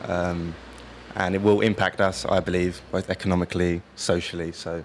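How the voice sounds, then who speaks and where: Young man, deep monotone, thoughtful. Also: normal speed, a little hiss or hum. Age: 20s. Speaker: interviewee. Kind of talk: vox pop street interview. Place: outdoors in a seaside town.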